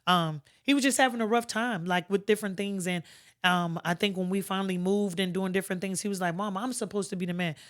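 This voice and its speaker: A middle-aged woman with a smooth, clear and strong voice. She speaks as a guest in a conversational interview, colloquial and emphatic, making her points plainly. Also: medium pitch, fairly even intonation, fast talker. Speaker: podcast guest, army first sergeant.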